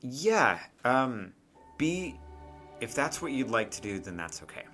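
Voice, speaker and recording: Man playing a subdued, halting mission leader. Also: medium pitch, very animated, music in the background. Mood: hesitant, resigned. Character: serious, gentle, troubled male mission leader.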